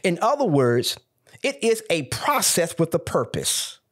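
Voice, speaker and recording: A man's voice: on the high side, sing-song, a little slow, a bit bright, husky. A male pastor preaching a sermon, building emphatic points and calling out to the congregation. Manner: preaching with rising emphatic calls.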